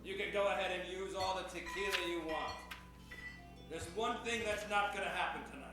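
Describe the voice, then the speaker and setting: A man playing a gruff, threatening Old West sheriff in an improvised radio comedy. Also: high-pitched, steady tone, a little fast, far from the mic. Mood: defiant. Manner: threatening, stubborn. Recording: echoey hall from afar, music behind.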